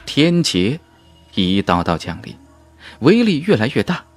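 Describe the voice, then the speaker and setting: A man's voice: medium pitch, big pitch swings, a little slow. A man narrating a fantasy novel in a dramatic, emphatic storytelling manner. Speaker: audiobook narrator. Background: background music.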